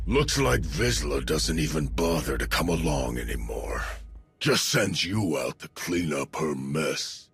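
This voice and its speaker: Menacing game villain, rough hard-edged voice, swinging intonation, arrogant, intimidating. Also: male voice, a bass voice, big pitch swings, unhurried, fairly bright tone, soft background music, heavily acted. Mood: sneering, coaxing. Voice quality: gravelly, rough. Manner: taunting, intimidating. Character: menacing, arrogant male raider enforcer in sci-fi. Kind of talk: video game cutscene acting.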